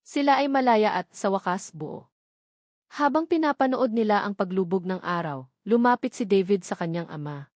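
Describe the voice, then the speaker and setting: A woman's voice: mid-range voice, animated, moderate pace, darkish tone, no echo, studio quality. A woman reading a scripted romantic drama as a storyteller, in an earnest, sentimental manner. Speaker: storyteller and channel narrator.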